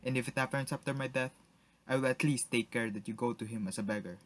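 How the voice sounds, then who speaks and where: A man's voice: mid-range voice, fast talker, natural voice. Pompous, scornful lord of the manor, acted by a man. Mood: cold sneering. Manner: pompous, sneering, accusing. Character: stern, scornful, suspicious lord of the manor, jealous husband. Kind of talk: melodramatic radio drama acting.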